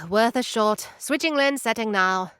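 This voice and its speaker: An actress playing a calm, knowing advisor who explains things in a measured, dry way. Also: female voice, mid-range voice, clean tone, no echo, lightly acted. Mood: explaining.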